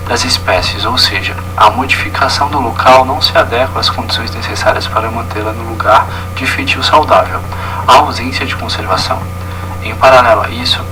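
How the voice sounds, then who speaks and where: A young man with a Brazilian Portuguese accent narrates a prepared presentation in formal, read-out phrasing. His voice sits at a middle pitch, somewhat distant from the microphone. Music plays clearly behind him. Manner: formal, reading from a prepared text. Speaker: student presenter. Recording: distant mic with music underneath. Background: background music.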